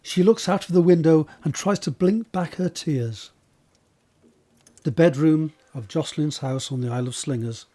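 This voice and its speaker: A man's voice: a little high, normal speed, dark tone. A man reading scripted scene narration for an audio drama.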